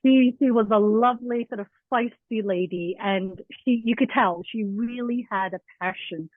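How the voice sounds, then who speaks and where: A woman's voice: on the high side, normal speed, no echo. Chatty woman, mild Scottish-American accent. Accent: mild Edinburgh Scottish with an American tinge. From Scotland. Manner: chatty, laughs often, many fillers. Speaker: guest teacher. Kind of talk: casual conversational interview. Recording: tinny phone-like line.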